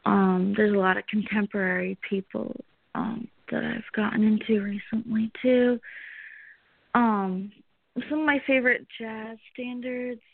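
A young woman singer answering interview questions, pausing often with many ums and small laughs. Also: mid-range voice, steady tone, creaky, no room sound. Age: young adult. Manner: hesitant, many ums, giggly. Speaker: singer, guest. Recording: tinny phone-like line.

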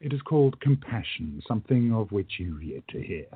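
Man acting an animated, courtly gentleman time traveller. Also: on the low side, moderate pace, breathy edge, no echo, narrow, tinny audio, exaggerated voice. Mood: stern, warning. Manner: polite, formal, emphatic. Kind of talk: radio play acting.

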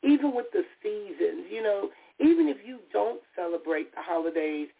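A woman with a raspy voice, recovering from a cold, hosting a solo radio talk show as a therapist, speaking in a heartfelt, personal way with restarts and stammers. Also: medium pitch, lively intonation, normal speed. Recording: tinny phone-line call-in audio.

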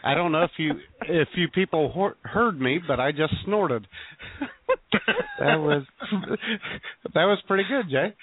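A man co-hosting a radio show in casual, excited chat. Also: a little high, measured pace, long pauses. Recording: tinny phone-like sound.

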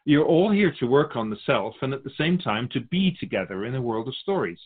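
A man plays a wise spirit Keeper in a spiritual talk show, patient and gently chiding. His mid-pitched voice moves with lively intonation as he teaches in measured, philosophical phrases. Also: slightly rough, narrow, tinny audio. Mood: gentle, encouraging.

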